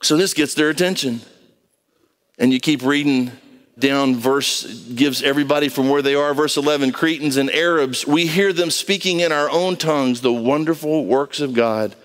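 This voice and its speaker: Male preacher, higher register, punchy and colloquial, conversational sermon delivery. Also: moderate pace. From Brazil. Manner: emphatic, colloquial, acts out short dialogues. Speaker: preacher, pastor.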